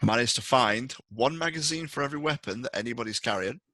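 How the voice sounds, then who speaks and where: A man's voice: medium pitch, fast, pushed and bright, no room sound. A man acting as game master, narrating a tabletop roleplaying game in conversation with players.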